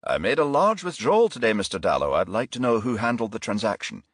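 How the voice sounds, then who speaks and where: A man voicing a formal, curt and demanding titled gentleman in a crime audiobook, with pointed questioning. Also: mid-range voice, very animated, fast talker, husky, dry recording, studio quality, voice pushed up.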